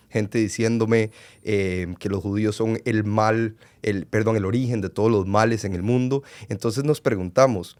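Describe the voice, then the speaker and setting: A man in his twenties from Costa Rica, a podcast guest speaking earnestly with frequent pauses and fillers. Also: fairly low pitch, darkish tone, smooth. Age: 20s. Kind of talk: conversational interview.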